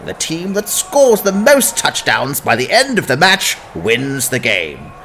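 A jovial fantasy sports commentator, performed by a man, folksy and theatrical like a showman. The pitch leaps about wildly, with clear noise behind the voice. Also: wildly swooping pitch, measured pace, pushed and bright, heavily acted. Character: jovial male sports commentator explaining brutal fantasy game. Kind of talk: parody sports broadcast.

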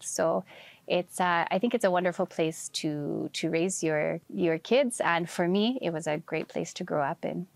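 Brief: woman, 30s, from Canada, earnest guest voice, slightly low, dry close sound. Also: no room sound. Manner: gentle, earnest, with frequent soft fillers. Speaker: interview guest. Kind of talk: casual storytelling interview.

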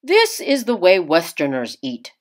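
A patient older great-uncle from a storybook, read by a woman. He teaches in a measured, worldly and encouraging way, taking his time and stressing key words with wide swings of pitch. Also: huge pitch swings. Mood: instructive. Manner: measured, instructive.

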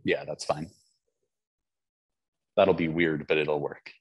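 A young man chatting casually before a webinar on a video call from a lecture hall, relaxed and chatty with many fillers. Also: rumbling low, takes it slowly, slightly rough. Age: young adult. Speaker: conference speaker. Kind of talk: casual pre-webinar chat. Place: front of a university lecture hall.

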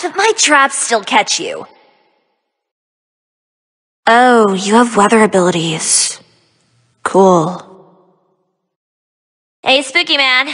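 A young magical-girl heroine from a video game, cocky and teasing. Her voice is bright and forceful, with slow, theatrical, sassy delivery. A long reverb hangs on every line. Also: sits high, exaggerated voice. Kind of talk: video game character voice lines.

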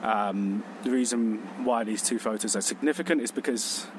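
A man speaking reflectively outdoors, pausing and correcting himself as he talks. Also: lowish voice. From Vietnam. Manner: thoughtful, pausing, self-correcting. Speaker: exhibition contributor. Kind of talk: reflective personal testimony. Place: outdoors on a city street. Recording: noisy street with traffic.

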